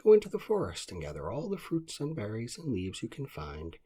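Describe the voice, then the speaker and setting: A man voicing a gentle, cheerful groundhog in a children's storybook, polite and persuasive in manner. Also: medium pitch, wildly swooping pitch, a little fast, husky, pitched above the performer's own voice. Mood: resigned, sly.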